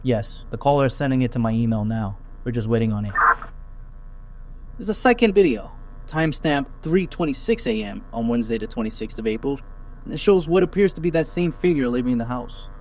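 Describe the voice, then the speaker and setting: A man's voice: mid-range voice, animated, a little fast. A man playing a procedural patrol officer reporting a scene to dispatch over police radio, clipped and matter-of-fact. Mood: official, matter-of-fact. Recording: muffled police radio, humming static noise.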